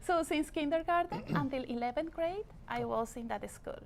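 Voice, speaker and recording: A fairly high-pitched woman with a Colombian Spanish accent answers an interviewer's questions. She explains things patiently and fills her pauses with "uh" and "um". There is a faint hiss behind her voice. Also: gravelly, darkish tone. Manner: patient, explanatory, with frequent fillers. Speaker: guest. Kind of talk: casual interview talk.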